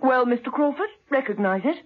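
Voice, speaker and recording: A woman's voice: high voice, expressive, a little fast. An actress playing a bold, witty female agent in a cool manner in a 1970s radio drama, on dull old radio tape.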